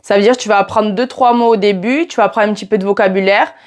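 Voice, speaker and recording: A woman's voice: medium pitch, steady tone, quick pace. A woman in her twenties with a southern French accent, chatting casually to camera about teaching Portuguese and often turning to the viewer. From France. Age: 20s. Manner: chatty, addresses viewer directly with "tu vois". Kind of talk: casual vlog talking to camera.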